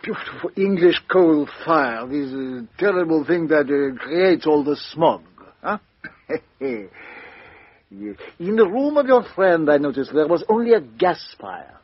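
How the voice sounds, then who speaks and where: A man's voice: fairly high pitch, big pitch swings, measured pace, husky, no room sound, heavily acted. An actor playing a fussy, polite middle-aged male detective, questioning hesitantly with a stammer, in a 1950s radio drama. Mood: musing. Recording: dull 1950s radio recording.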